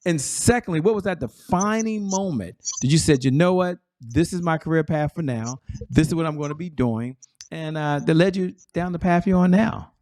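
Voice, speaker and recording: Casting: talk-show host, man, fairly high voice, easy chatty delivery, dry recording. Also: normal speed, a little mellow, dry recording. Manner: chatty, conversational. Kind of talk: interview hosting.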